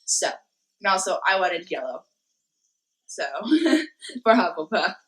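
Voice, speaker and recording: A young woman with a hoarse voice from a cold, casually talking through a craft tutorial from her bedroom. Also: medium pitch, big pitch swings, a bit bright. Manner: slow, rambling, many fillers. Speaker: cosplay vlogger. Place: bedroom at home.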